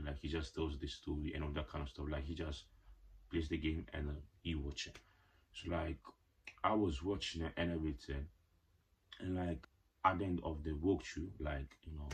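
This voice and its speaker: A man's voice: extremely low pitch, husky. A young man telling a casual personal story on his channel, rambling with lots of filler words and playful slangy asides. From Ghanaian parents. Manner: rambling, heavy "like" and "you know" fillers. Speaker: vlogger.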